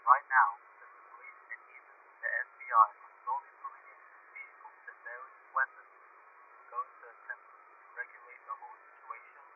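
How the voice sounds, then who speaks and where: A man's voice: medium pitch, flat delivery, normal speed. Man playing male police detective, dismissive, official, distant tinny phone call, faint line noise. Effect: telephone filter. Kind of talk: phone interview in radio drama.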